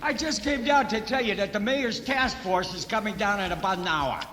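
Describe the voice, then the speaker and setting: A man's voice: sits high, a little fast, a bit bright, big-room sound, a little hiss or hum. A man playing a chatty, gossipy sewer foreman in a radio comedy. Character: chatty male sewer foreman passing on news.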